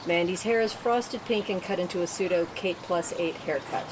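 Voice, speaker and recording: A woman's voice: on the low side, normal speed. A woman reading stage directions as narrator at a script table read, in a matter-of-fact way. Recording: clearly noisy room recording.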